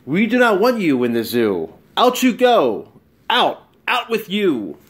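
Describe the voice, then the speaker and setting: A middle-aged man giving an expressive children's storybook read-aloud at home. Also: high-pitched, takes it slowly, a little hiss or hum. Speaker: storybook reader. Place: small bright room at home.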